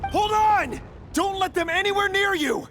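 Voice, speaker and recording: A man's voice: very high-pitched, normal speed, over loud music. An actor playing an anguished, devoted young man in a fantasy video game, speaking earnestly and intensely in formal phrases. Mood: alarmed, urgent. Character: anguished, devoted young male fantasy hero, desperate and defiant. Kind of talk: dramatic video game acting and narration.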